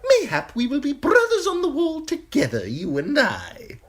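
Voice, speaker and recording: A woman voicing a sly, simpering male eunuch courtier with a high, tittering voice, in an audiobook. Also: huge pitch swings, moderate pace. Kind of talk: audiobook character voice.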